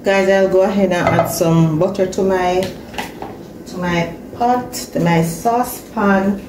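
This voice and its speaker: A woman's voice: on the low side, fairly even intonation, slow, noisy background. A woman talking viewers through her cooking in a home kitchen, often repeating herself. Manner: talks to viewers while cooking, repeats phrases. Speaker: cooking channel host. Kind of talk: casual cooking narration. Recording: echoey kitchen with frying sizzle.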